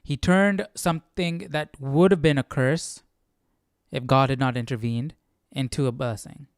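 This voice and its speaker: A man's voice: mid-range voice, measured pace, smooth, dry recording, clean studio sound. A man hosting a Bible study stream, talking through scripture casually and musingly, often checking in with rhetorical questions. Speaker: host of a Bible study livestream. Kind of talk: casual conversational scripture commentary.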